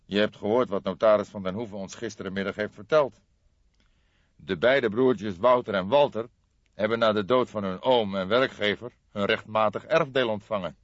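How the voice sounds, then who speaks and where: A man voicing a calm, probing old male police detective with a deliberate, insistent manner in an audiobook. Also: fairly low pitch, dry recording, highs cut off, lightly acted. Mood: calm, measured. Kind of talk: audiobook character dialogue.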